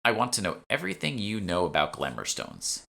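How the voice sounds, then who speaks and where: Man reading as a pushy, animated young heroine. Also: lowish voice, fairly quick, creaky, voice pushed up, exaggerated voice. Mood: demanding. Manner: demanding, curt. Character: pushy, impatient woman adventurer, sharp and defiant. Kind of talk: audiobook character dialogue.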